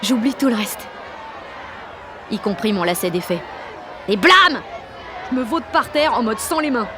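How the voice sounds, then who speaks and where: A woman playing a brash, excitable sixteen-year-old girl with grandiose ideas, narrating an audio drama in slangy, dramatic first person. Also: on the high side, unhurried, background noise, lightly acted. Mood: proud, excited. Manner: slangy, cocky, dramatic teenage delivery. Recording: music and street crowd behind the voice.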